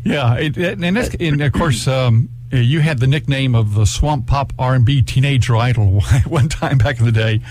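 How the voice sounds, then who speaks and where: A man's voice: mid-range voice, moderate pace, hardly any pauses, a little mellow. A man hosting a radio interview, eager and chatty, laughing often. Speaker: radio host. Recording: radio broadcast with faint hum.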